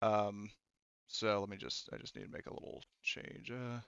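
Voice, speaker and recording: A man running a tabletop game as game master, narrating casually and haltingly with informal, sweary phrasing. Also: on the low side, level tone, vocal fry, fairly bright tone, smooth, no echo, lo-fi sound.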